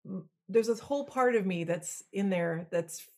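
A woman's voice: medium pitch, moderate pace. A woman from Belgium whose first language is French, a musician talking expressively as a podcast guest. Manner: expressive, talks with her hands. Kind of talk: casual conversation.

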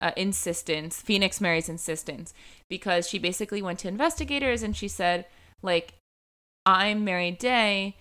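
A woman's voice: mid-range voice, normal speed, sharp tone, clear, resonant tone. A young woman hosting a true-crime podcast, telling a case in a casual chatty way, full of "like" and "um" fillers. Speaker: podcast host.

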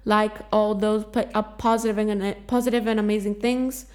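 A woman's voice: mid-range voice, little pitch movement, normal speed, darkish tone. Earnest woman, Greek native speaker. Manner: earnest, repetitive, encouraging instruction. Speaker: podcast host. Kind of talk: self-help podcast talk.

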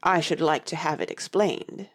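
A woman's voice: low-pitched, normal speed. A woman playing a doleful, sentimental Mock Turtle with a ponderous, mournful delivery in an audiobook. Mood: doleful, baffled. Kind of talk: full-cast audiobook character acting.

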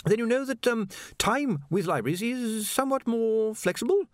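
A man's voice: very high voice, lively intonation, moderate pace, dry recording, voice pushed up. A flustered young male wizard, as a male reader puts him on, stumbling over his words in a raised, fretful voice. He sounds nervous and a little out of his depth. The storybook reading is dry and close. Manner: stammering, hesitant.

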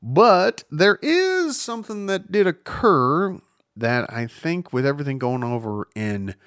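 A man hosts his own podcast alone, rambling loosely through the news with plenty of swearing and self-mockery. His pitch swings widely and keeps things animated. The sound is dry and close, with no room around it. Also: unhurried, clean tone, dry recording. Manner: rambling, profane, self-mocking, jumps between topics. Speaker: podcast host. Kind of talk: solo casual news podcast.

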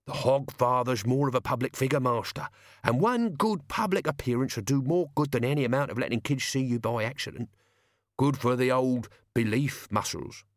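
Man playing a wry old manservant, lively swooping pitch. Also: moderate pace, no echo, studio quality, natural voice. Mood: persuasive. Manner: colloquial, deferential, wry. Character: old male manservant, wry, coaxing, colloquial helper.

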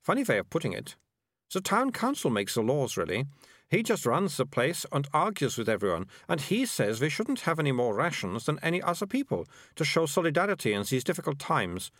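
A man's voice: on the high side, sing-song, a little fast, a bit bright, no room sound, studio quality, pitched above the performer's own voice. A man voicing a bossy, imaginative young girl with a prim, know-it-all manner.

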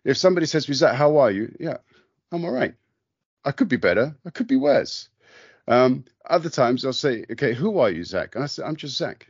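A man from the UK, talking thoughtfully on a podcast, pausing and restarting often. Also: mid-range voice, big pitch swings, slightly rough, no room sound, muffled recording. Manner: thoughtful, hesitant, many restarts. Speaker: podcast host. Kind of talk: reflective conversation.

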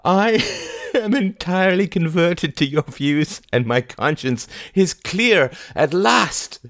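A woman's voice: rumbling low, sing-song, measured pace, dry recording, studio quality, voice pushed up, heavily acted. A man doing a sly, giggling woman trickster. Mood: gleeful. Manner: gentle, teasing, giggling.

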